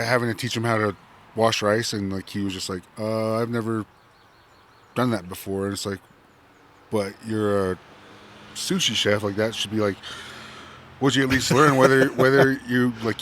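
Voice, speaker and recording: A man with a low voice, a chef, tells a story hesitantly and leans on fillers. Faint noise lies behind him. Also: steady tone, moderate pace, a bit bright. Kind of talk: casual podcast chat.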